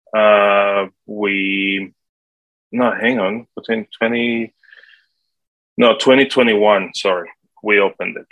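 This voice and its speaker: Colombian-accented man in his forties, bright and dry. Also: on the low side, no room sound, rough recording. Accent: Colombian Spanish. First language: Spanish. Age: 40s. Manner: halting, with many fillers. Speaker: guest, property manager. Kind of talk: casual interview answers.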